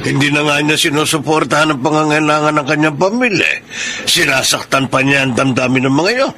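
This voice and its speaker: A man's voice: a little high, a little fast, noisy background, lightly acted. A man acting a concerned, indignant older grandfather in a Filipino radio drama.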